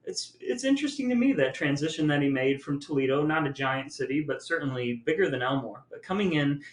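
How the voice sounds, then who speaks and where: A man's voice: on the high side, lively intonation, fairly quick. A middle-aged man hosting a podcast, talking earnestly and reflectively about family. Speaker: podcast host. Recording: echoey room.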